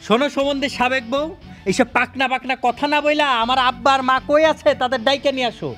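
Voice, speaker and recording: A man's voice: very high voice, steady tone, fast talker, pushed and bright, faint music behind. A man acting a boastful, comic son-in-law in a family drama, bossy yet wheedling, with a Bangladeshi accent. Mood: pompous. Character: pompous, money-grubbing son-in-law, comic and cowardly.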